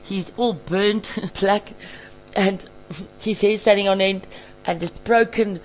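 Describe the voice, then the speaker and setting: A woman's voice: mid-range voice, fairly even intonation, slow pace, muffled recording, a little hiss or hum. A woman casually describing cartoons aloud, amused and laughing between phrases.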